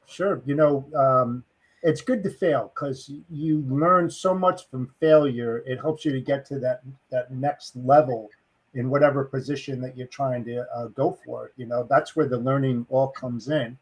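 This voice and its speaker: A man hosts a podcast interview in a husky, somewhat breathy voice at a middle pitch. He speaks casually and supportively, often stopping and restarting his words. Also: a little slow, poor audio. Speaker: podcast host.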